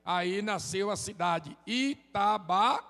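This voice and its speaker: Man, high voice, joking preacher, call-and-response, long reverberant church hall. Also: expressive, slow, fairly bright tone. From Sergipe, Brazil. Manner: joking, chatty, drops "amém?" tags. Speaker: visiting preacher. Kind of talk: informal sermon with crowd call-and-response. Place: church sanctuary.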